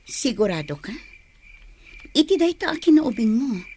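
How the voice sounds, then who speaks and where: A woman's voice: fairly high pitch, big pitch swings, normal speed, a little mellow, slight background noise. A woman with an Ilocano accent playing a protective, questioning elder-sister rebel in a radio drama. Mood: questioning, cautioning. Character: probing, protective elder-sister member of mountain rebel band.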